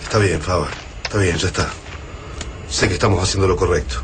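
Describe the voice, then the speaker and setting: An actor with an Argentine Rioplatense accent playing a wary, determined resistance fighter in a radio drama, urgent and earnest. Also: male voice, low voice, fairly quick, noisy background, close to an everyday voice. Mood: resolute. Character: Juan, wary, determined male resistance fighter in ruined city.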